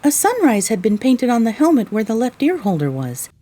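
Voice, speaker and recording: Young mare heroine-narrator, female actor: weary, wry, lively intonation, soft husky tone, audio drama storytelling. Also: on the high side, a little fast.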